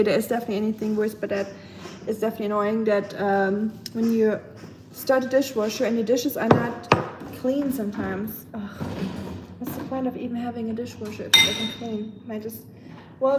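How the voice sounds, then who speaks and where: A woman's voice: a little high, a little fast, very noisy. German-accented woman chatting over clattering dishes. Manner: chatty, talks to chat while washing dishes. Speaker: live streamer. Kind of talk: casual live stream chat while doing chores. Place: home kitchen. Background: clattering dishes and cutlery.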